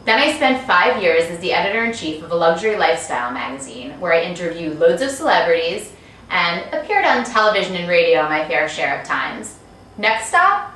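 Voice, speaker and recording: A very reverberant, distant recording of a woman with swooping, animated intonation. She sounds like an author pitching to an audience in a chatty scripted style, breezy and playful. A faint noise sits under her voice. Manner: breezy, playful, asks and answers rhetorical questions. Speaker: author promoting her book and talks. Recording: very echoey room, distant mic, faint noise.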